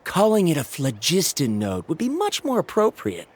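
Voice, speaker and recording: A man's voice: a little high, huge pitch swings, moderate pace, slightly rough, full character voice. An actor playing an eager young male scholar in a video game, lecturing about his theories in a pedantic way. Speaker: researcher. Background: game music.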